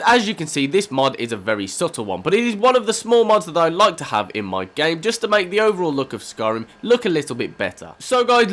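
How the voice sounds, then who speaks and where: Man with a cold, slightly croaky, quick upbeat talk, lively swinging pitch, game review voice-over. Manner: upbeat, keeps addressing viewers as guys. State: has a cold, voice a bit croaky. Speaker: host of a game mod review series.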